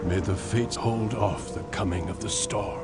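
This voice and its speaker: An actor playing a solemn mystic hero facing ancient evil, speaking gravely and theatrically in a fantasy game cutscene. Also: male voice, lowish voice, steady tone, a little slow, close to an everyday voice. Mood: grave, portentous. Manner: grave, portentous, archaic phrasing. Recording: loud music behind.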